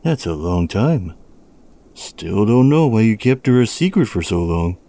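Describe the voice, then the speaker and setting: A man acting as a stolid small-town police officer in an audio drama, measured and dryly understated, with a philosophical streak. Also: very animated. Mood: polite, probing. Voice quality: low, mellow voice.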